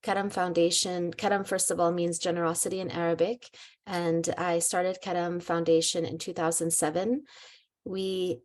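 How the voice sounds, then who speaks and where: Low, flat-voiced woman nonprofit founder. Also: normal speed. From Syria and the US. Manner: friendly, conversational, with restarts. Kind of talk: conference presentation. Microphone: video call.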